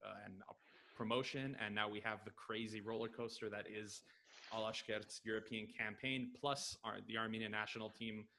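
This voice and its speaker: Eager American man, lowish voice. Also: steady tone, bright, forceful tone. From Los Angeles. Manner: enthusiastic, quick, with many fillers. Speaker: podcast guest. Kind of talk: casual interview answers. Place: home room, video call. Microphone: headset.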